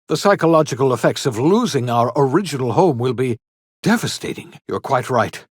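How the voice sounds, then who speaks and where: An actor playing an eccentric elderly scientist and rebel in a video game, earnest and theatrical, with formal, old-fashioned, emphatic phrasing. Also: male voice, mid-range voice, lively intonation, a little fast, breathy edge, dry recording, studio quality. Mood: grave, worried. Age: older. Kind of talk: video game character dialogue.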